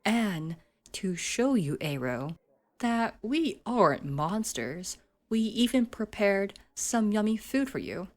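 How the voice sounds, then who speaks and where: A woman's voice: medium pitch, very animated, slow pace. A woman acting a mocking, domineering schoolgirl bully in an ASMR roleplay, condescending and teasing with menace. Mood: mock-sweet, menacing.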